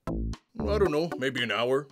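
A man's voice: medium pitch, very animated, measured pace, gravelly, faint music behind. A man voicing an indecisive, childlike, friendly monster puppet for a kids' show, exclaiming and drawing out words as he dithers. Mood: friendly, unsure.

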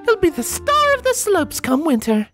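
A theatrical, glamorous cartoon pony lady, put on by a man. The voice is pitched very high and swoops proudly, like a fashion diva in a story. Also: wildly swooping pitch, normal speed, a bit bright, faint music behind, full character voice.

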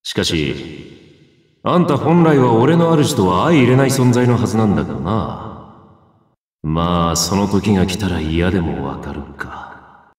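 A man voicing a gruff, wary sentient sword in an anime game, blunt and rough in casual speech. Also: deep voice, big pitch swings, unhurried, a little mellow. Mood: dry, musing. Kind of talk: anime game character voice acting. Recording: echoey reverberant processing.

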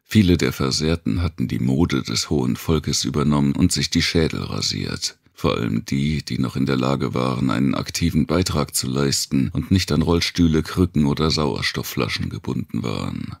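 A man narrating a post-apocalyptic thriller audiobook in the first person, with a measured, deliberate delivery and dramatic pauses. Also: a bass voice, lively intonation, gravelly, dry recording, studio quality.

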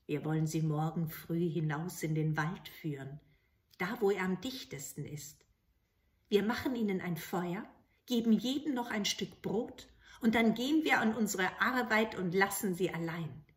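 A woman's voice: on the low side, moderate pace, close to an everyday voice. A woman voicing a harsh, heartless fairy-tale stepmother with a stern, commanding manner. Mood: scheming. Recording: roomy home recording.

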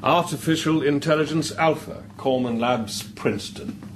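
An actor playing a thoughtful, cautious priest in a radio drama, asking gently probing questions in a hesitant way. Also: male voice, a little high, moderate pace, natural voice. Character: thoughtful, cautious male priest interviewing an artificial intelligence. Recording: clear background noise.

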